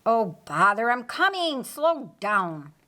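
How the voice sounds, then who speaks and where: A woman's voice: a little high, very animated, slow, pushed and bright, full character voice. A woman narrator voicing a doubtful, grumbling man in an audiobook Bible story. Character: gruff, doubtful, grumbling man roused from hiding.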